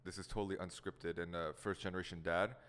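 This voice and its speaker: Young male podcast host, Korean first language, low resonant voice, laid-back rambling delivery; reverberant room. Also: flat delivery, fast talker, a bit bright. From Seattle area. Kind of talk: casual podcast chat.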